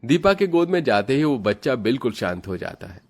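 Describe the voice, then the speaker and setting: A man's voice: medium pitch, big pitch swings, fairly quick. A man narrating a scripted moral story for children as its storyteller.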